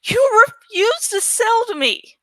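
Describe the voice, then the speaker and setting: A woman's voice: very high voice, sing-song, takes it slowly, fairly bright tone, no echo, pitched above the performer's own voice, heavily acted, rough recording. A woman voicing an earnest, stubborn young male villager in a storybook reading, speaking insistently. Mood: taken aback. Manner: insistent, protesting.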